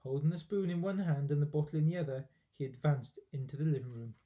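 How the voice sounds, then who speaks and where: A man's voice: on the high side, quick pace, highs cut off. A man reading a children's storybook aloud expressively, with dramatic emphasis, like a teacher.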